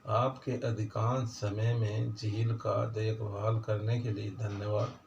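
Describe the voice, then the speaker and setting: A man reading a moral story for children in a plain, halting way, with little change for the characters. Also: on the low side, little pitch movement, creaky. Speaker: storyteller, channel host. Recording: distant mic, echoey room, dull, faint noise.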